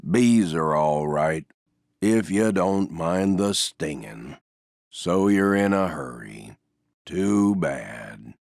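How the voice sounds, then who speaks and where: A man voicing a gloomy, resigned, self-effacing stuffed donkey for a video game, with a mournful, deadpan, sighing delivery. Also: sits low, very slow, vocal fry, no echo, clean studio sound, heavily acted. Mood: glum, deadpan. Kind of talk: video game character voice lines.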